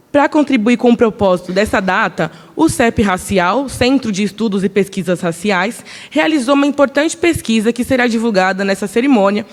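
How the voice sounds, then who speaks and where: A man serving as master of ceremonies, making measured, formal announcements at a ceremony. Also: sits high. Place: large auditorium. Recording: long reverb of a large hall.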